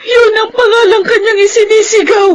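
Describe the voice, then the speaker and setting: High-voiced grieving mother, tearful. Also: flat delivery, moderate pace. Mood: tearful grief. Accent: Filipino. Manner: tearful, sobbing delivery. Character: grieving mother of a dead young woman. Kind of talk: Filipino radio drama acting.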